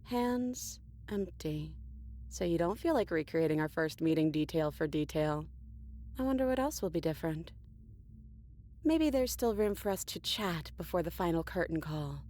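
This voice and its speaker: Woman as a mellow, poised knowing princess. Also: lowish voice, lively intonation, moderate pace, lightly acted. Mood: cool, teasing. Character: poised princess, calm, knowing, faintly menacing. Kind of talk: visual novel game voice acting.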